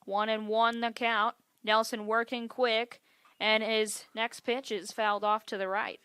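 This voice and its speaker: A woman calling baseball for television as play-by-play announcer, in a measured, descriptive way. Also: on the high side, flat delivery, fairly bright tone, smooth, dry recording. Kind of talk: live TV sports commentary.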